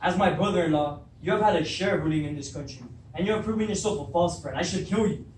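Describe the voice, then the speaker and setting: An indignant, suspicious king from a stage play acted by a young male student. The voice is high and accusing. It echoes around the room, picked up from far off over faint noise. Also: fast, close to an everyday voice. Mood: angry, accusing. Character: angry, suspicious, proud king. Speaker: drama student. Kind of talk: beginner stage readers' theatre. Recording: roomy, distant mic, faint noise.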